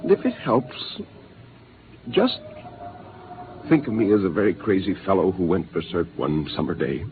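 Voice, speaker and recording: Doomed, idealistic rebel, swooping intonation. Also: male voice, deep voice, big pitch swings, normal speed, pauses often. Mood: wistful, resigned. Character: doomed, idealistic rebel crewman, resigned yet defiant. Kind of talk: 1950s radio drama acting. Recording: muffled vintage radio recording with faint music.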